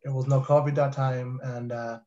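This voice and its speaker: A man's voice: mid-range voice, little pitch movement, moderate pace, dark tone. A man in his thirties whose first language is French, speaking earnestly as a panelist on a video call through a headset. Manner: earnest, emphatic, repeats for stress. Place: home living room with high ceiling. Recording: dull muffled video call audio.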